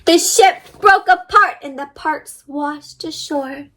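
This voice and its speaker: A woman reading a storybook aloud to young children with animated, wide-eyed expression, guiding them directly as she goes. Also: high voice, takes it slowly. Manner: addresses young listeners directly with questions and sound effects. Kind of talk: interactive storybook reading and paper-folding instruction.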